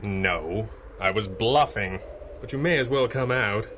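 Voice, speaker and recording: Commanding male pulp superhero, dry wit, forceful bright voice, noisy background. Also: on the low side, measured pace. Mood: brisk, dry. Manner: authoritative, dryly witty. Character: commanding masked 1930s male superhero with hypnotic powers. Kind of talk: radio drama acting in a pulp serial style.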